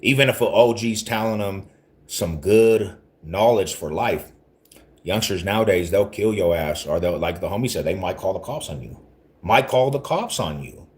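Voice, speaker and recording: A man in his forties with a very low voice talks on a casual livestream. He rambles loosely in street slang and circles back to repeat his points, greeting viewers as he goes. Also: lively intonation, moderate pace. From Denver, Colorado. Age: 40s. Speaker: livestream host talking to viewers.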